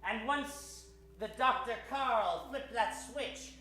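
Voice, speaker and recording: In a very echoey room, a man acts out a gloating Venusian tyrant for a radio comedy. The voice is sharp and forceful, with theatrical, villainous relish. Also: slow pace, slight background noise, full character voice. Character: gloating bearded Venusian alien emperor villain. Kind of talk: improvised old-time radio acting. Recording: echoey, boomy room with faint music.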